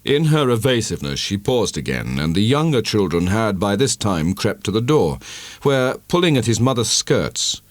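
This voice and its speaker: Male audiobook narrator, deep and dry-recorded, for dramatic, measured storytelling of literary fiction. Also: sing-song, no echo.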